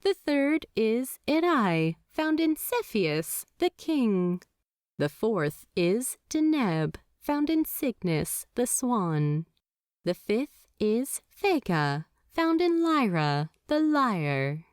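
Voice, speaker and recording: A woman narrating a fanfiction story in an expressive, measured storybook reading. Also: fairly high pitch, dry recording, clean studio sound.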